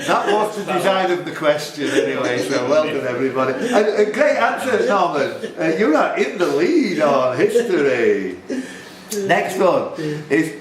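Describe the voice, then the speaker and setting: A man's voice: a little high, sing-song, normal speed, crowd noise. A man hosting a live quiz in a pub, reading out questions and bantering jokingly with the contestants. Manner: joking, chatty, teasing contestants. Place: indoors in a pub. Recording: roomy, noisy pub recording.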